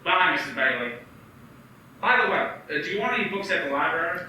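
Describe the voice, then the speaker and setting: Casting: man playing young restless dreamer, high bright lively voice, radio play, distant echoey mic. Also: fast, slight background noise. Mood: teasing. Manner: lively, bantering, shifts to subdued. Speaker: actor in a community radio play.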